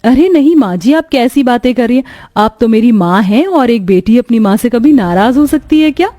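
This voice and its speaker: A young village daughter-in-law from a cartoon moral story voiced by a woman, devoted and respectful. She speaks in a fairly high, very mellow voice with a caring, deferential manner. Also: animated, moderate pace, close to an everyday voice. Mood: reassuring.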